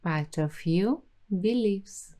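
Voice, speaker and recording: A woman hosting a soft-spoken ASMR roleplay, talking dreamily and intimately to the listener. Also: medium pitch, lively intonation. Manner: slow, dreamy, intimate, addressing the listener directly.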